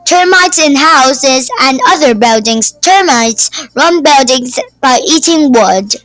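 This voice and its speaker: A woman reading a children's nonfiction book aloud as host, with deliberate, simple phrasing. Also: sits high, a bit bright, dry recording, poor audio. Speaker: YouTube read-aloud host.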